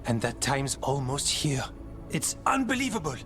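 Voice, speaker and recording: An actor playing an earnest, hopeful warden with a sense of wonder in a fantasy video game. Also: male voice, mid-range voice, sing-song, normal speed, lightly acted. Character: earnest, hopeful male warden who cherishes nature. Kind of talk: video game dialogue acting. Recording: game music underneath.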